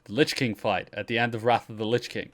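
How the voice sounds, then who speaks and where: A man's voice: on the low side, fairly even intonation, fast, fairly bright tone. A man hosting a reaction stream, chatting casually and enthusiastically with casual swearing. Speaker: host of a reaction livestream. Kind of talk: casual commentary and chat.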